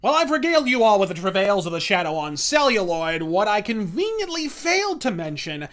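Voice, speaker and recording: A man's voice: sits high, very animated, fairly quick, over music. A man hosting a review show, delivering a scripted rant in a bombastic, profane manner full of florid wordplay. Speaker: host of a comics and film review show.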